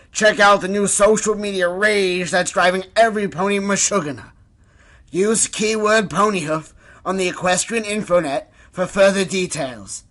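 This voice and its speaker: A man voicing a brash pony pitchman in a parody radio commercial, with a punchy hard sell. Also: pushed and bright. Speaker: advert announcer.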